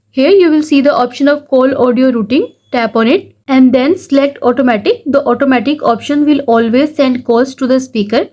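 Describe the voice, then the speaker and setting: A woman with a distinctly high voice narrates a tech tutorial. She reads from a script in an instructional manner, moving step by step through set, formulaic phrasing. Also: a little mellow.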